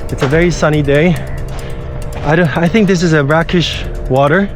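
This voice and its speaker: A man's voice: a little high, fairly even intonation, normal speed. A man from the Philippines, a travel vlogger giving easygoing walking commentary outdoors and talking straight to viewers. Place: outdoors, walking through a quiet new town. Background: background music.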